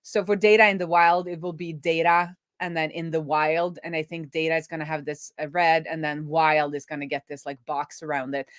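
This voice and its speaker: A woman's voice: sits low, level tone, a little fast, hardly any pauses, dry recording, clean studio sound. A woman hosting a casual coding livestream, thinking aloud informally as she works. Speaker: livestream host, web developer. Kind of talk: casual live coding commentary.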